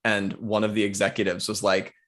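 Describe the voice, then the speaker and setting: A man's voice: on the low side, monotone, a little fast, a bit bright. A man who works as a TV writer, a guest on an interview show, telling a self-deprecating story with laughs. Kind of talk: casual interview chat.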